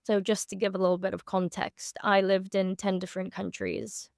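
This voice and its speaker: A woman's voice: medium pitch, little pitch movement, moderate pace, vocal fry, a little mellow, clean tone, dry recording, clean studio sound. A woman in her twenties from Moscow and Singapore, talking candidly as an expert guest in a conversational interview. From born in Moscow, grew up in Singapore. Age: 20s. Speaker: SEO consultant and interview guest.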